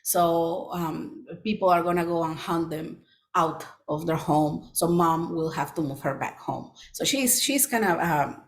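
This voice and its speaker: A middle-aged woman children's author from Peru, with a Peruvian Spanish accent, giving a presentation with many pauses and restarts. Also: fairly low pitch. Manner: frequent ums and restarts, earnest. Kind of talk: presentation talk.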